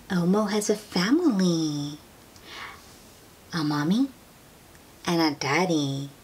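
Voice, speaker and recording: A woman reading a picture book aloud to young children, gently drawing out her words and asking them questions in an expressive way. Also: lowish voice. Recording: steady noise behind the voice.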